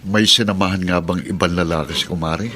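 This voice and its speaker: An actor with a Filipino accent playing a sympathetic, inquisitive friend in a radio drama, reassuring and a little hesitant. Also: male voice, deep voice, fairly even intonation, brisk, bright, forceful tone. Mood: concerned. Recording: noisy radio drama track with clicks.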